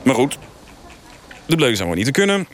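A man's voice: mid-range voice, sing-song, quick pace, slight background noise, lightly acted. A man playing an earnest, frustrated TV news director with cinematic dreams, speaking hesitantly and repeating himself in a mock radio interview. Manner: hesitant, rambling, repeats himself, self-serious. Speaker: interview guest.

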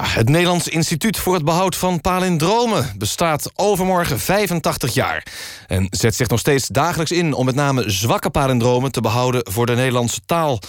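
A man presenting a mock radio news magazine, reading scripted items in a deadpan manner. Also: on the high side, dry recording, studio quality. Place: dry radio studio.

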